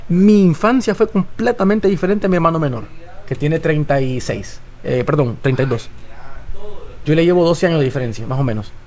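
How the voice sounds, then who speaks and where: A man's voice: on the high side, brisk, a little mellow. A man of about forty with a Honduran accent, talking casually and emphatically as an interview guest, repeating phrases and adding tag questions.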